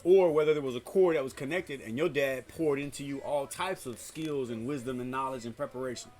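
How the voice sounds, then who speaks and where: A man's voice: mid-range voice, a little fast, a little mellow. A man in casual podcast conversation who talks like a preacher, citing scripture and building to emphatic, repeated phrases. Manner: cites scripture.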